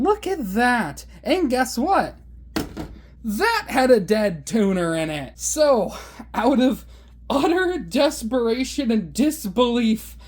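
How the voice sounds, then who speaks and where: A man with a high voice, casually showing his hobby collection in a sarcastic, exasperated, exaggerating rant. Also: a little mellow. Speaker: hobbyist video host. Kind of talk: casual show-and-tell rant.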